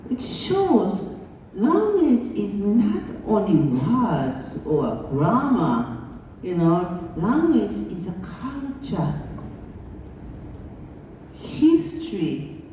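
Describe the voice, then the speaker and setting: A Japanese woman, speaking English as a second language, gives a slow, chatty conference lecture and laughs along as she talks. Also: a little high, mellow, a little hiss or hum. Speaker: international school board chair giving a lecture. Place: large conference hall. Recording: echoey hall, voice heard from far off.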